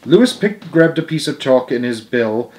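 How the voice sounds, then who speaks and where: A man's voice: medium pitch, very animated, normal speed, a little mellow. A young man reading a children's novel aloud at home, stumbling over words and correcting himself now and then. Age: young adult. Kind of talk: amateur read-aloud narration. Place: living room at home, indoors.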